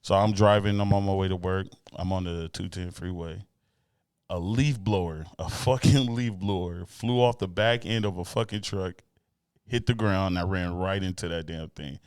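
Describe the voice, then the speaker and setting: A man with a fairly low voice hosts a casual interview. He talks in a laid-back, slangy way and swears casually as he chats. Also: normal speed, a little mellow, smooth, dry recording, studio quality. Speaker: interview show host.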